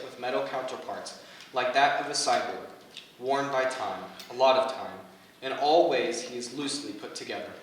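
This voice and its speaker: A man reading stage directions as the scripted narrator of a staged play reading. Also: medium pitch. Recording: echoey hall, distant mic, background noise.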